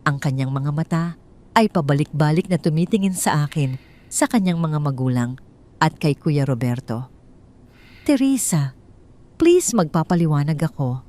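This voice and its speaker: A woman's voice: low-pitched, moderate pace, mellow. Woman telling a dramatic story. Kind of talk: first-person dramatic story narration.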